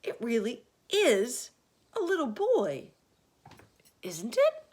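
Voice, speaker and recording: A woman voicing a pompous, easily alarmed man who heads the fire department, blustering loudly. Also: medium pitch, expressive, slow, pitched above the performer's own voice. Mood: amazed.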